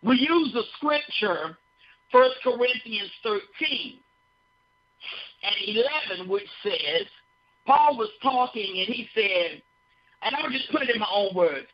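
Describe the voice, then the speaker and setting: Woman, sermon, folksy repeated phrases, slow delivery, muffled lo-fi broadcast. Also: a little high, expressive. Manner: folksy, repeats phrases, asks listeners "you know". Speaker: radio speaker on behavior. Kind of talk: conversational sermon. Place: radio studio. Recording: dull lo-fi broadcast sound.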